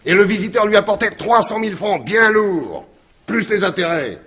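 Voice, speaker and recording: A man's voice: high-pitched, animated, moderate pace, a bit bright, breathy edge, exaggerated voice. An actor playing a shrewd, insistent detective in a French radio mystery in 1950s style, explaining his theory with emphatic deliberation. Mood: triumphant, accusing.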